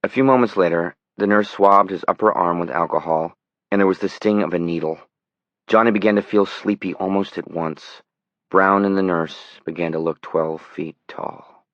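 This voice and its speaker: A deep-voiced man narrates dramatic fiction in audiobook style. The recording is very dry and slightly muffled. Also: moderate pace, a bit bright, no echo.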